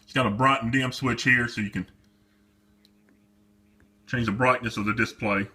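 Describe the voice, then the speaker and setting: A man's voice: medium pitch, steady tone, normal speed, bright, forceful tone, husky. A man giving a casual demonstration of a product as its seller, talking off the cuff.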